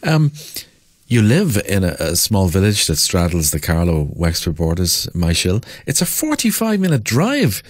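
A man hosting a conversational radio interview, putting his questions in a measured, slightly halting way. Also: low voice, wildly swooping pitch, no room sound.

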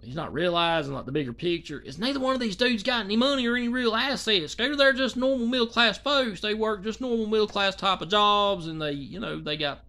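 Casual vlogger, thirties, male, slightly high voice; rambling and loose, lots of asides. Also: lively intonation, brisk, hardly any pauses, sharp tone. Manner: loose, colloquial, many restarts and asides. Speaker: commentary vlogger. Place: small dim indoor room. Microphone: phone or webcam close to face.

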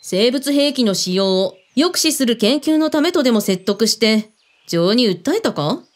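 Expressive, skeptical female ex-agent voiced by a woman. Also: a little high, measured pace. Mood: serious, probing. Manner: terse, mannish phrasing, probing questions. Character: shrewd, skeptical female ex-agent in a protection business. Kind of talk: Japanese radio drama acting.

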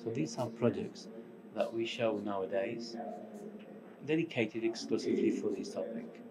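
A middle-aged man with a Portuguese accent, mixed with some Spanish, giving a conference talk as a company co-founder. He speaks in short, halting phrases with pauses. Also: fairly low pitch. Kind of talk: conference presentation. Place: large conference hall stage, indoors. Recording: conference hall with audience noise.

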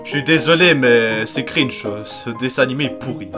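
A man's voice: mid-range voice, normal speed. A young man giving casual, crude, irritated gameplay commentary as a let's play host. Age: young adult. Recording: loud game music behind the voice. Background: video game music.

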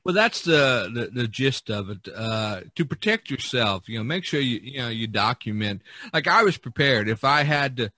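A man hosting a casual podcast discussion, speaking haltingly and often repeating words and phrases. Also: medium pitch, expressive, pushed and bright, dry recording. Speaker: podcast host.

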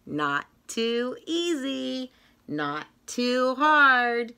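A middle-aged woman reading a children's picture book aloud like a teacher, in an expressive, rhythmic sing-song manner with drawn-out phrases. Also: a little high, gravelly, clean tone. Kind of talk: read-aloud of a children's story. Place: small indoor classroom-style room.

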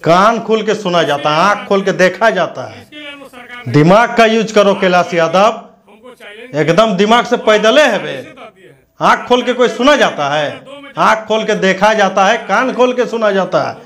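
Guy in his 30s, high voice, sweary scornful political rant into a lapel mic. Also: lively intonation, unhurried, a bit bright. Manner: scornful, abusive, confrontational. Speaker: news commentator. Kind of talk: combative political rant to camera.

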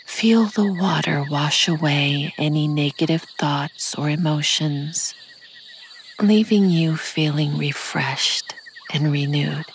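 Slow, low-voiced woman guiding meditation. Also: slight background noise, low-quality recording. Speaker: meditation guide. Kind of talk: guided meditation narration, scripted. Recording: nature ambience with insects behind voice.

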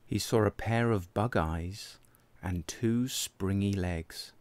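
A man reading a children's picture book aloud as an expressive, deliberate narrator. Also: lowish voice, dry recording. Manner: animated, deliberate. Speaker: storybook reader.

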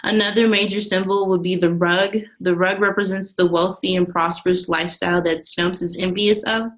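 A woman's voice: fairly low pitch, fairly even intonation, moderate pace, rough recording. A young woman, a student, reading a class presentation from her slides, with some restarts. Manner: reads steadily from notes with some restarts.

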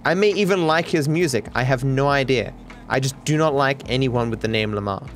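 A man's voice: medium pitch, brisk, slight background noise. A man with an Australian accent chatting casually to a live stream over gameplay, joking in a dry, sarcastic way. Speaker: video game streamer.

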